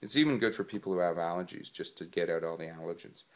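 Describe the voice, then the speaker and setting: A low-voiced man speaks to his viewers while still stuffed up from a cold. He rambles a bit, with lots of ums and restarts, in a casual, chatty way. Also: fast. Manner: rambling with many fillers. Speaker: singing vlogger. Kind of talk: casual spoken tips to camera.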